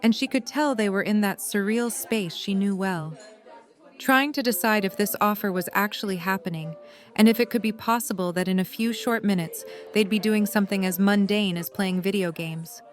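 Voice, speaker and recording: A woman's voice: medium pitch, normal speed, soft background music. A woman reading scripted third-person story narration for an audio drama.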